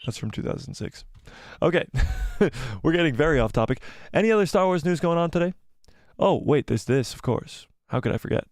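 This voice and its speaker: A young man hosting a live stream, rambling excitedly, laughing often and reading viewer comments, slightly losing his voice. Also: on the high side, fairly quick, no echo, clean studio sound. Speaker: YouTube channel host.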